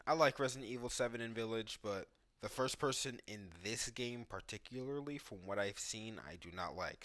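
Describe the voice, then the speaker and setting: A man with a slightly low but bright, crisp-edged voice hosts a gameplay video. His commentary is casual and informal, as if thinking out loud while he plays. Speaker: gaming video host.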